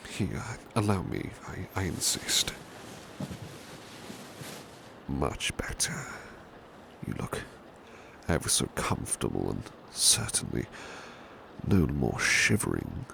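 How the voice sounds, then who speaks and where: A man playing a devoted, gentle British butler in a soft-spoken ASMR roleplay, courteous and formal, often slipping into a breathy whisper. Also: huge pitch swings, slow pace, exaggerated voice. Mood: concerned, caring. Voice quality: very deep, gravelly, breathy whispery edge. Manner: gentle, courteous, old-fashioned formal phrasing. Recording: clear background noise, ambient rain sound. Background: rain ambience.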